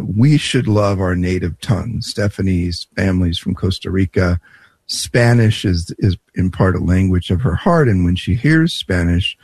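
A man presenting a conversational promotional spot in a measured, deliberate way, like someone telling a personal story. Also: low-pitched, darkish tone, husky, no echo. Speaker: presenter promoting a language course.

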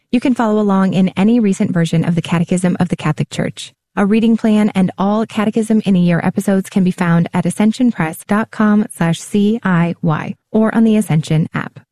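Mellow woman announcer. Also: mid-range voice, dry recording. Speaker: show announcer. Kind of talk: scripted narration.

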